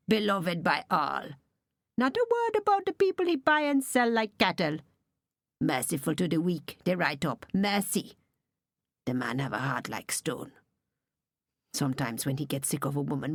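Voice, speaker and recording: A woman's voice: sits low, dry recording. A woman voicing a bitter, resentful middle-aged man, brooding and scornful like a preacher, in an audiobook reading.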